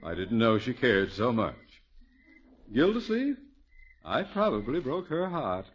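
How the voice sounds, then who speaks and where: A vain and pompous male neighbor in a 1950s radio sitcom. His delivery is theatrical and animated, with big rises and drops. The recording is dull and old, with hiss behind it. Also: medium pitch, measured pace. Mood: vain, nostalgic. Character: vain, pompous, litigious neighbor man, quick to suspect. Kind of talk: 1950s radio situation comedy acting. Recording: vintage radio recording, narrow band, hiss.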